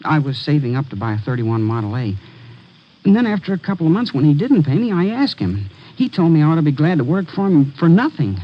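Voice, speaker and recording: A man's voice: a little high, very animated, brisk. An American actor playing a nervous, earnest seventeen-year-old boy in an old radio drama, polite and hesitant. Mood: aggrieved, earnest. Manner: polite, hesitant, stammering under questioning. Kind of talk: 1940s-50s radio drama acting. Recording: dull vintage radio recording.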